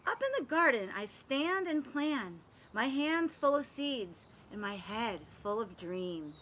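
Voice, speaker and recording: Bright, high-voiced woman reading to children. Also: measured pace, slight background noise. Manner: expressive, explains pictures to young listeners. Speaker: read-aloud reader for children. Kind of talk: animated children's picture book read-aloud.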